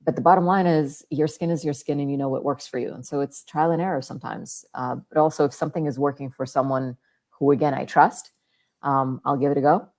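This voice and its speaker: A woman guest with a deep, calm, soothing voice, chatting in an easygoing way about her skincare routine. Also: a little fast. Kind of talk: casual chat about skincare.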